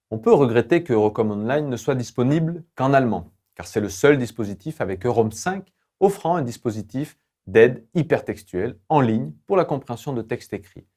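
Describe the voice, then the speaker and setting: A man delivers scripted educational narration as an online course lecturer, in a measured, formal, didactic manner. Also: medium pitch, darkish tone.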